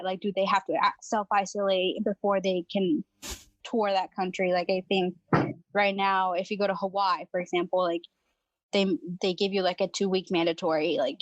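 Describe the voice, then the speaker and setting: A woman's voice: mid-range voice, dry recording, dull audio. Young woman from Venezuela, casual and chatty podcast guest, dry close recording. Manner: chatty, laughs often, many fillers. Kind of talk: casual chat.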